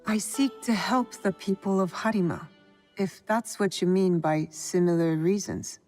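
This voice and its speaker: A woman's voice: mid-range voice, measured pace, darkish tone, soft background music, lightly acted. Guarded, wry young shinobi woman played by an actress. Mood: sober. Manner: cool, direct, restrained. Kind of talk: video game cutscene acting.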